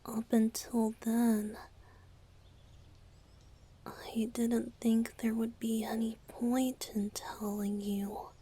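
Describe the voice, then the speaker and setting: A breathy young male bard played by a woman speaks very slowly in a soft whisper. He is tender and playful, a spirit in love in a romantic ASMR roleplay, and his words come haltingly and sincerely. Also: fairly high pitch, level tone, heavily acted. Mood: tender, confessional. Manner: slow, halting, heartfelt.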